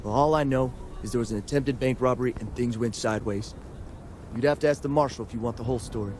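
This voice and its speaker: A man's voice: mid-range voice, fast talker, darkish tone, a little hiss or hum, close to an everyday voice. Man acting a folksy frontier town guard. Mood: dutiful, cautioning. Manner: folksy, official. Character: plain-spoken male frontier city guard. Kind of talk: video game voice acting.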